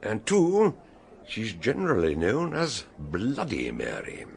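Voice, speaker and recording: A man acting a shrewd, genial gentleman detective with a British accent in a radio drama, musing and courteous, a little theatrical. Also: fairly low pitch, huge pitch swings, takes it slowly, creaky, full character voice.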